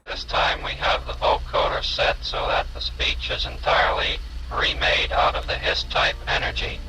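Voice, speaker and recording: A man giving a technical demonstration through a vocoder that turns his speech into unvoiced, whispery hiss. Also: moderate pace. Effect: vocoder remade from hiss, whispery and unvoiced. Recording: hissy vintage demonstration under loud music.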